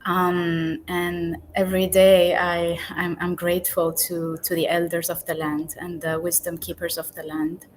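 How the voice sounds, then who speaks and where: A woman speaks slowly in a lowish voice over a video call. She is a calm, reflective guest whose words carry a grateful tone. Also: a little hiss or hum, low-quality recording. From Lebanon. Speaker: podcast guest. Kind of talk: live podcast conversation.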